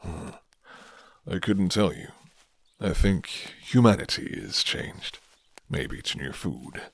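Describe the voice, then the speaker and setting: A man voicing a gruff, flirty but guarded werewolf in an intimate, murmured ASMR roleplay, with teasing pauses. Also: animated, heavily acted. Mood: musing, low. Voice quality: deep, low and gravelly.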